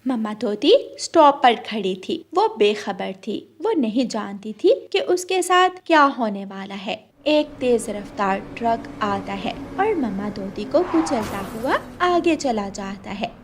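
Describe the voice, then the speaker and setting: A woman's voice: fairly high pitch, big pitch swings, slow pace, hardly any pauses, slight background noise. A woman reading scripted, storybook-style narration for a cartoon.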